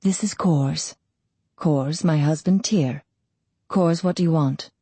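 A composed, blunt wife as a woman narrator plays her speaks with dry wit. The voice is low and mellow, in an audiobook style. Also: slow pace, slightly rough, no echo, heavily acted. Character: cool, self-possessed wife, dry and blunt. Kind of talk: audiobook character voice.

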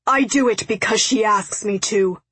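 A woman narrator plays a stern young soul collector in an audiobook. He speaks in formal, old-fashioned declarations full of menace and torment. The delivery is measured and husky in a dry space. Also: medium pitch, fairly even intonation, dry recording. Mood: anguished defiance. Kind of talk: audiobook character acting.

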